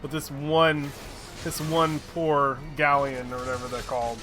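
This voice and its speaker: A man's voice: fairly high pitch, steady tone, a little slow, bright, forceful tone, soft background music. A man streaming a fantasy game, chatting casually, joking, swearing and reading quest lines aloud. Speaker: gaming streamer. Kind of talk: casual gameplay commentary.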